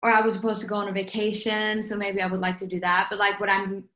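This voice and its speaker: A woman's voice: mid-range voice, flat delivery, fast, a bit bright, echoey room. A young woman from Norway with a Norwegian accent, a singer chatting casually in an interview and saying "like" all the time. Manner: chatty, says "like" constantly.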